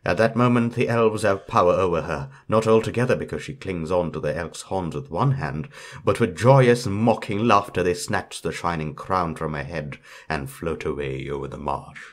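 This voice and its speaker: A man's voice: sits low, normal speed. A man reading a fairytale aloud as a storyteller, in scripted narration.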